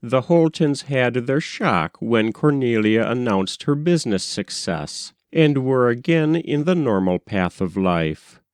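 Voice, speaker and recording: A man narrating a literary short story as an expressive reader. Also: mid-range voice, unhurried, few pauses, clear, resonant tone, dry recording, clean studio sound.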